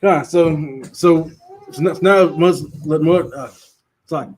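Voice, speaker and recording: A man's voice: a little high, measured pace. A man who stutters and repeats words, casually teaching a Bible class and laughing often. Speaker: teacher leading a Sabbath class.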